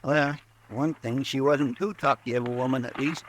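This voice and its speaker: An actor playing a talkative, fretful frontier sidekick in an old radio drama, with a drawling rustic way of talking. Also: male voice, medium pitch, fairly even intonation, moderate pace, slight background noise, lightly acted. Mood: folksy. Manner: drawling, rustic country talk. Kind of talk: 1950s radio drama acting.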